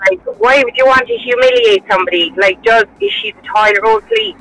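Woman, radio caller, firm, rhetorical, high bright voice, faint background noise. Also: a little fast, low-quality recording. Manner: firm, opinionated, asks rhetorical questions. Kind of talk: phone-in call to a radio show.

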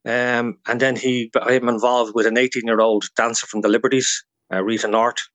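A man's voice: mid-range voice, level tone, normal speed, a bit bright, dry recording. A man with an Irish accent talking as a guest historian in a radio interview, explaining things informally through anecdotes.

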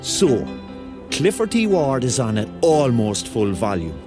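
A man's voice: mid-range voice, wildly swooping pitch, measured pace, over music. An actor playing a hapless, self-mocking thirty-year-old man with a Cork accent, telling his story as a rambling, wry confession in a radio drama. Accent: Cork Irish.

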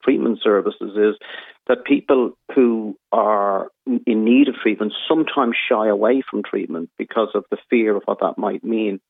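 A man, a doctor, talks in a measured, explanatory way. His voice sits fairly low. It comes down a narrow phone line with no room sound. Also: gravelly, no echo. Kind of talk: radio phone-in interview.

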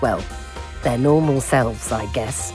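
A woman's voice: very deep voice, slow, over music. A woman hosting a podcast interview, teasing and laughing with her guests. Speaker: podcast host. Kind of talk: radio interview.

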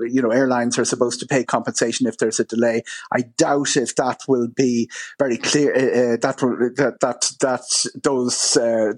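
Man, Irish accent, husky voice, mid pitch, halting speech with false starts, expert radio commentary. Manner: halting, fragmented delivery with many false starts. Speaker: travel and aviation journalist, expert guest. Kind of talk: live radio interview commentary.

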